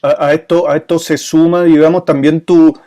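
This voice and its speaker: A man's voice: fairly high pitch, little pitch movement, moderate pace, warm tone. Hesitant man hosting a webinar. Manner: hesitant, rephrasing questions. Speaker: webinar host. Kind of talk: casual interview conversation.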